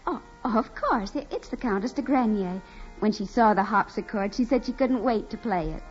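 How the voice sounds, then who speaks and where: A woman's voice: fairly high pitch, expressive, normal speed, lightly acted. An actress playing a naive, earnest young farm woman, hesitant and adoring, in a 1940s radio drama. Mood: shy, awed. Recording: muffled 1940s radio recording with music.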